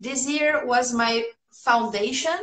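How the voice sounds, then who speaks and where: Brazilian-accented woman in her thirties, upbeat English teacher chatting to viewers; slightly high voice, echoey home room, phone up close. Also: slow, fairly bright tone. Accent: Brazilian Portuguese. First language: Portuguese. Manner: chatty and upbeat, laughing often. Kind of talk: casual livestream chat answering viewers' comments. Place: small room at home, indoors.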